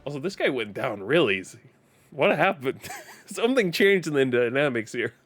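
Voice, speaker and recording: A man's voice: mid-range voice, big pitch swings, moderate pace, fairly bright tone. A man from Denmark whose first language is Danish, speaking English with a Danish accent in casual live-stream gaming commentary, chatty, laughing often and repeating words as he restarts.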